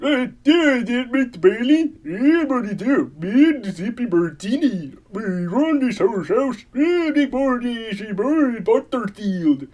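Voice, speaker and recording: A man voicing an excitable immigrant homeowner with halting, garbled speech in a comic puppet radio play. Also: very high-pitched, heavily acted. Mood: flustered pride.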